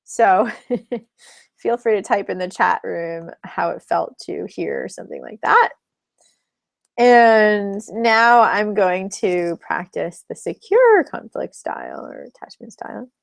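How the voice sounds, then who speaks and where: Woman coach, webinar teacher, slight vocal fry, dry close sound, chatty and explanatory. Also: mid-range voice, animated, takes it slowly, no room sound. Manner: chatty, explanatory, laughs between points. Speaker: relationship coach.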